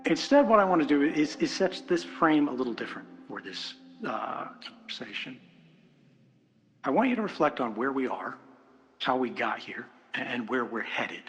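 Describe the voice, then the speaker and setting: A man's voice: medium pitch, big pitch swings, normal speed, rough recording. A man giving an earnest, reflective political keynote, building points with repetition and rhetorical questions. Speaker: conference speaker. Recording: music bed behind speech. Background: music.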